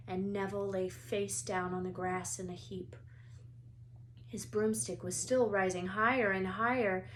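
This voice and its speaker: A woman's voice: mid-range voice, fairly bright tone. Woman reading a storybook aloud, faint noise. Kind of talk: expressive children's storybook narration.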